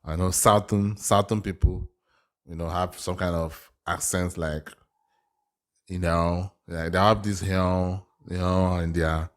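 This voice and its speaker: A man's voice: deep voice, steady tone, a little slow. A man from Africa with an African accent giving casual reaction commentary, thinking aloud with hesitant, repeated phrases. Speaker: reaction video host.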